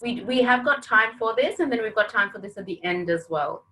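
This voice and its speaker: A woman's voice: fairly high pitch, expressive, fairly quick, sharp tone. A woman presenting a training webinar over a video call, speaking in an upbeat, encouraging and direct way. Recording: distant video-call audio.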